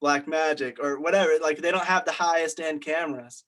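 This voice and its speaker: Higher-pitched man leading an informal lesson. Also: fairly even intonation, a little fast, a bit bright. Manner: conversational, explanatory. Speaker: group meeting leader. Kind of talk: informal lesson in a group discussion.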